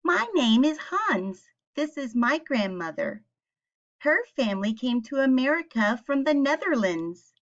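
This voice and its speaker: A woman teacher reads aloud to young children in a fairly high voice with very animated, swooping intonation. She explains things simply and puts questions to her listeners. The recording is a little dull and lo-fi. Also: unhurried. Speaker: teacher reading a picture book aloud.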